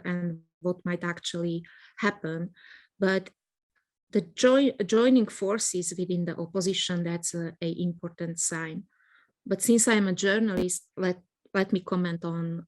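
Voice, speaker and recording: Woman journalist, gravelly edge, measured and thoughtful, restarts words. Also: medium pitch. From Slovakia. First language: Hungarian. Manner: thoughtful, repeats words while searching for phrasing. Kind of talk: panel interview answer.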